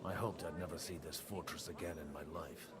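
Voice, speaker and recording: An actor playing a middle-aged medieval priest in a video game, world-weary and wry, with a dry, grumbling manner. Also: male voice, extremely low pitch, steady tone, brisk, over a noisy crowd. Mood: weary, bitter. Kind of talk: video game dialogue acting.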